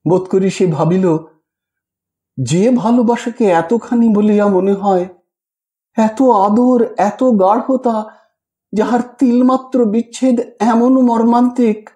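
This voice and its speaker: Male literary narrator, mellow and high-voiced, measured dramatic reading of fiction. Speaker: audiobook narrator. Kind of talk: scripted literary story narration.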